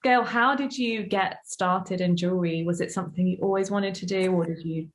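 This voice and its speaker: A woman's voice: lowish voice, fairly even intonation, moderate pace, roomy sound. A woman hosting a podcast, chatty and upbeat as she introduces her guest. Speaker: podcast host.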